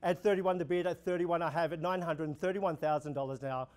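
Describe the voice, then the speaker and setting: A young man with an Australian accent, an auctioneer running a practice auction, calling bids in a steady repeating rhythm. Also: fairly high pitch, a little fast. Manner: rhythmic, repetitive bid calling, gestures while talking. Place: indoor room before a projection screen.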